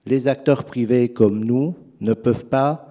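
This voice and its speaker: A man's voice: mid-range voice, a little slow, warm tone. A man whose first language is not French, speaking earnestly and persuasively as a finance panelist at a conference.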